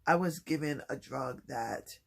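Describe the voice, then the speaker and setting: A man talking softly and haltingly in a personal vlog, with many pauses and restarts. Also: vocal fry. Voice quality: low voice. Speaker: vlogger. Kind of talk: personal vlog, reflective talk.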